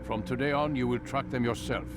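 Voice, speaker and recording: An actor playing a stern old master of an assassin order in a video game, lecturing gravely and formally. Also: male voice, mid-range voice, fairly even intonation, brisk, lightly acted. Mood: commanding. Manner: grave, solemn, formal mentor. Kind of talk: dramatic video game acting. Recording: loud music behind the voice. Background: music.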